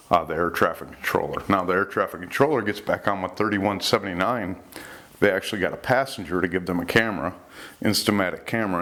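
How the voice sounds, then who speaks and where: A man's voice: low-pitched, fairly bright tone. A man retelling recorded incidents in an informal, conversational storytelling style, as the host of a talk. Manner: conversational, relays dialogue in reported speech. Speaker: host recounting UFO reports.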